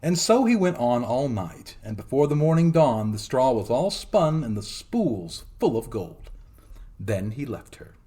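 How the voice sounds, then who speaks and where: A man narrating a fairy tale as a storytelling host, in a theatrical, expressive dramatic reading. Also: mid-range voice, a little slow.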